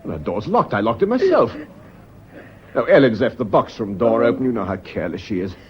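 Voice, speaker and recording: An actor playing an earnest British schoolmaster and lover, persuasive and reassuring with a nervy edge, in a radio drama. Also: male voice, medium pitch, very animated, fairly quick, slightly rough. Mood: agitated, reassuring. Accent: British English. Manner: persuasive, reassuring, by turns tender and exasperated. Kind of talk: British stage-style radio drama acting. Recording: dull, hissy old radio recording.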